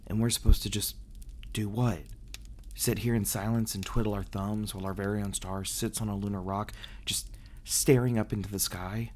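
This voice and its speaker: A man's voice: fairly low pitch, slight background noise, natural voice. A man playing a brooding, bitter vampire in an ASMR audio roleplay. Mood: weary, worried. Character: brooding, bitter male vampire, resentful of his absent guardians.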